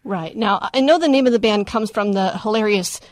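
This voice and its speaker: A woman interviewing a guest on the radio, moving the talk along with brisk, brief questions. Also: medium pitch, no room sound, clean studio sound.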